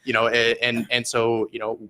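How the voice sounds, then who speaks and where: A man's voice: mid-range voice, monotone, moderate pace, bright, forceful tone, slight background noise, low-quality recording. A man running a food bank, answering interview questions in an earnest, explanatory way, with frequent fillers and repeated words. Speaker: food bank executive director, guest.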